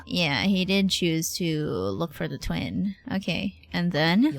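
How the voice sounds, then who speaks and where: A woman game streamer chatting casually live, thinking out loud, exclaiming and swearing freely. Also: lowish voice, lively intonation, takes it slowly, creaky. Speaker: streamer reacting to a role-playing game. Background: game music behind the voice.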